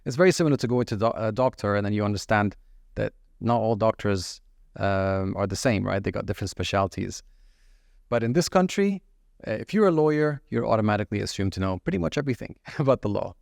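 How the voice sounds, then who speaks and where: Low gravelly man, lawyer. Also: expressive, darkish tone, no echo, clean studio sound. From UAE. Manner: measured, with frequent fillers and restarts. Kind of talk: podcast interview answers.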